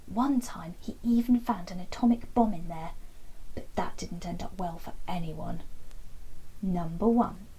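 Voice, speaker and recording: A woman narrating a children's book as an expressive bedtime story, animated, with dramatic pauses. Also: fairly low pitch, a little hiss or hum, rough recording.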